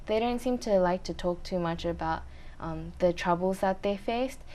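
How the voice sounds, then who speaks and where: Young adult woman, fairly low pitch, measured, thoughtful, pauses often. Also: warm tone, a little hiss or hum. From Australia. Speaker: interview guest, university student. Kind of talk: casual interview answers.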